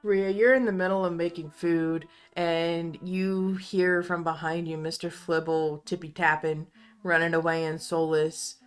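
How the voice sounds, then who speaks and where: A woman's voice: deep voice, smooth. A woman narrating an improvised tabletop roleplaying scene as game master.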